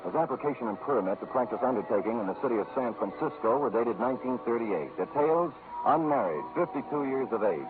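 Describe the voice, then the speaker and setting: A man's voice: medium pitch, animated, fast, few pauses, close to an everyday voice. A man playing a sardonic, cocky hard-boiled private detective in 1940s radio noir style, with wry, mock-formal wording. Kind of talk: 1940s radio noir acting and first-person narration. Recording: tinny old radio recording, music behind.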